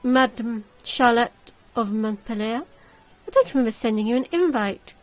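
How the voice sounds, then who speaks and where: A woman voicing a suave young marquis in a gothic visual novel, speaking with measured, teasing courtesy. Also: a little high, lively intonation, lightly acted, rough recording. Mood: mock courtesy.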